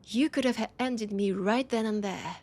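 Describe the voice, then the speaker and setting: A woman with a French accent playing a teasing, nostalgic, centuries-old vampire in an intimate audio roleplay, her breathy voice often dropping to a whisper. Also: mid-range voice, normal speed, a little mellow, close to an everyday voice. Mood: playful, tender. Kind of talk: intimate audio roleplay, one-sided dialogue.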